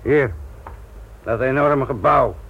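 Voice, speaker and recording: An actor playing a blunt, sardonic, middle-aged police inspector lecturing trainees in a Dutch radio play. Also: male voice, mid-range voice, takes it slowly, gravelly, fairly bright tone, breathy edge, exaggerated voice. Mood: brisk, scornful. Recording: tinny, noisy old radio play recording.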